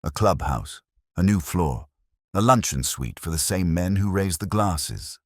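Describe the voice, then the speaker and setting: A man voicing a composed, precise woman in an audiobook, speaking smoothly and with restraint as she confronts wrongdoers. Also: low voice, animated, no echo, studio quality, voice pushed up. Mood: controlled accusation. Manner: smooth, measured, untheatrical.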